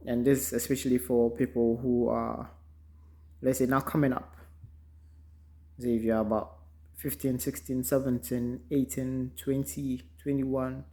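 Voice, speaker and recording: A man from Ghana with a Ghanaian accent, giving an unhurried, reflective motivational talk full of ums, recorded in a car. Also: mid-range voice, little pitch movement, mellow. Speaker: vlogger. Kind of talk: casual motivational monologue.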